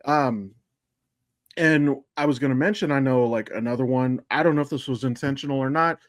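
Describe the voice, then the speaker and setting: Male podcast host, mid-range pitch, blunt casual delivery, opinionated, dry room-free sound. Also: brisk, no room sound. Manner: blunt, sweary, addresses listeners directly.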